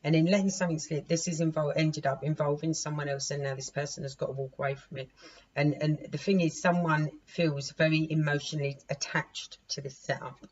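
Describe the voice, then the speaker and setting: Hoarse chatty woman in her forties. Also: low voice, steady tone, brisk, darkish tone. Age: 40s. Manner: chatty, laughs at herself, repeats and restarts. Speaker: tarot reader. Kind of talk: casual tarot reading to camera. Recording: dull lo-fi recording with faint noise.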